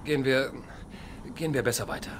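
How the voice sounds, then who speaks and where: A man's voice: medium pitch, steady tone, fast talker, very noisy, close to an everyday voice. An actor playing a young, commanding soldier who leads his squad with urgency in a video game. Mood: wary. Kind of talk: video game voice acting, German dub.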